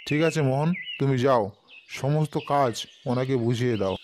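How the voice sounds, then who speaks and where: A man's voice: mid-range voice, moderate pace, background noise, lightly acted. An actor voicing a male king in a cartoon, who talks with authority and gives orders. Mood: commanding. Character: stately male king, commanding and generous. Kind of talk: cartoon voice acting.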